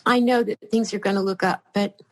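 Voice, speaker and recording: An older woman chatting as a podcast guest, rambling and halting, with many fillers and restarts. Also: mid-range voice, steady tone. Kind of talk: casual chat.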